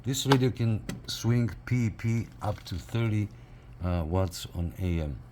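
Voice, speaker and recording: Low-voiced man, halting and casual. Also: noisy background. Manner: halting, repeats phrases. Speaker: radio reviewer and CB operator. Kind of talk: casual hands-on review, unscripted.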